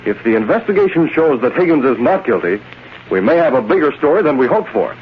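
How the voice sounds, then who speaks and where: An actor playing a brisk, authoritative young American newspaper publisher in an old-time radio drama, with clipped delivery. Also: male voice, medium pitch, very animated, close to an everyday voice. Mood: probing. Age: young adult. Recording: muffled, noisy vintage radio recording.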